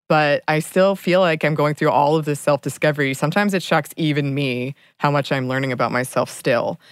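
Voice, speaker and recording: A woman's voice: deep voice, smooth, no echo, clean studio sound. A young woman co-hosting a book podcast, talking earnestly in a casual chat and laughing often between thoughts. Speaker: podcast co-host.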